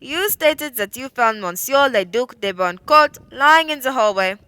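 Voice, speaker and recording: A woman's voice: high voice, lively intonation, a little slow, sharp tone, clear, resonant tone, no room sound, exaggerated voice. A woman playing a formal, authoritative French police prefect questioning people in a radio play. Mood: formal, probing. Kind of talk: radio play courtroom acting.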